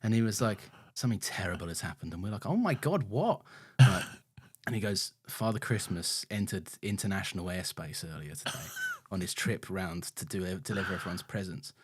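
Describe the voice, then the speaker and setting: A man's voice: sits low, a little fast, breathy edge. A man co-hosting a podcast in casual chat, telling stories with lots of laughs and put-on quoted voices. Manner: animated storyteller, laughs often, puts on quoted voices. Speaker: podcast co-host.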